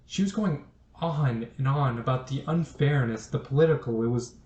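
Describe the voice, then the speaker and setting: A man's voice: medium pitch, moderate pace, a little mellow, highs cut off, natural voice. A young man playing a bitter, accusing college-age son in a student drama, speaking in a confrontational, emphatic way. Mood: bitter, indignant. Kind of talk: student stage drama acting. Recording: roomy, echoing sound.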